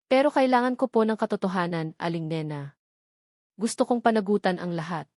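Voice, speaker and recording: A woman's voice: fairly low pitch, animated, a little fast, a little mellow, no room sound, natural voice. Woman narrator as young man, remorseful, earnest, pleading, polite, romance drama, dry sound. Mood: remorseful, determined.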